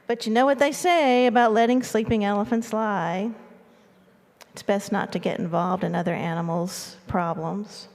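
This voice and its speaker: A woman's voice: mid-range voice, steady tone, moderate pace, a little mellow, lightly acted. A woman storyteller voicing a politely detached, noncommittal male gazelle in a tale. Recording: echoey hall with long reverb.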